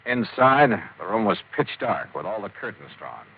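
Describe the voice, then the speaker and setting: Hard-boiled radio detective, tinny vintage sound. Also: male voice, fairly low pitch, big pitch swings, moderate pace, creaky, slight background noise. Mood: brooding narration. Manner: clipped, hard-boiled, slangy delivery. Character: tough, skeptical male freelance insurance investigator, world-weary. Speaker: lead actor and narrator of a radio detective series. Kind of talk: old-time radio detective drama acting. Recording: tinny, band-limited vintage radio, music behind.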